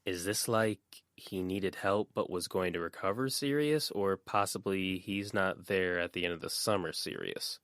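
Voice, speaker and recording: A man voicing a lively, caring teenage girl in a fan audiobook reading, lifting his voice high and chirpy. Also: moderate pace, few pauses, no echo, clean studio sound. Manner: bright, chirpy, high put-on voice. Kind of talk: audiobook character voice.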